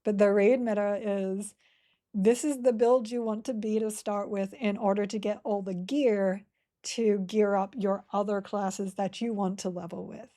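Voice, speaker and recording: A woman's voice: mid-range voice, steady tone, unhurried, warm tone. A woman streaming a game live, chatting casually and rambling while she plays and reads chat aloud.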